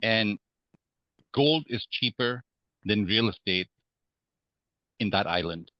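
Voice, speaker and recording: A man from Hong Kong, a podcast guest, speaking in a measured way with many fillers and restarts. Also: lowish voice, animated, dry recording, dull audio. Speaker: guest real estate investor. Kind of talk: podcast interview.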